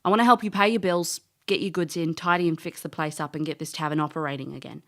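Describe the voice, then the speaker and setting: A woman's voice: deep voice, fairly even intonation, quick pace. A woman playing a brash, cheeky, big-hearted elf in an audio drama, with a British accent and wry, colloquial talk. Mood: earnest. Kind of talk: audio drama acting and first-person narration.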